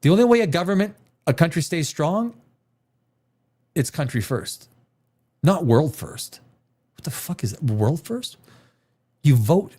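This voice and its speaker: A man's voice: fairly high pitch, animated, a little slow, long pauses, darkish tone, slightly rough. A man hosting a livestream chat, blunt and profane, hammering his points with repetition. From Canada. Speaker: livestream host.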